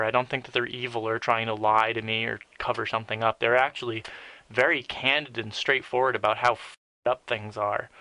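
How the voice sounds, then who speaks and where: Crisp, bright-voiced man giving blunt commentary. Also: mid-range voice, no room sound, rough recording. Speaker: video essayist, narrator. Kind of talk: opinionated scripted commentary.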